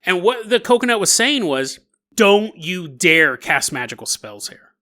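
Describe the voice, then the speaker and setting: A man hosting a solo podcast, telling stories in a casual, jokey, animated way. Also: sits high, measured pace. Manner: animated, jokey, laughs at own asides. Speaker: podcast host. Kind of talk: casual comedic storytelling, solo podcast narration.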